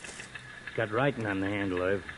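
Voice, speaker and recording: An actor playing a blunt, terse police desk sergeant in an old-time radio drama. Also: male voice, on the low side, moderate pace, noisy background, natural voice. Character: gruff middle-aged desk sergeant, blunt. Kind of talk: 1940s radio acting.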